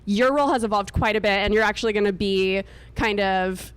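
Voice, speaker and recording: A woman's voice: mid-range voice, brisk, clear, resonant tone. A woman hosting an event in a chatty, informal, joking way, speaking up into a microphone. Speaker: event host and co-founder introducing a speaker. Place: meetup event room with air conditioning.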